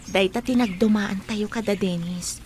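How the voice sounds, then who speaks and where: An actress playing a kind, supportive young student in an Ilocano radio drama. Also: female voice, mid-range voice, normal speed, lightly acted. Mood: defending, sympathetic. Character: kind, supportive young female student defending her classmate.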